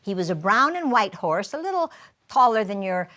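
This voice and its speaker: A woman's voice: medium pitch, sing-song, moderate pace, fairly bright tone. Storyteller played by a woman: earnest country woman recalling girlhood, mid-range voice, live stage narrative. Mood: fond reminiscing. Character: grown woman recounting her horse-loving farm girlhood, earnest.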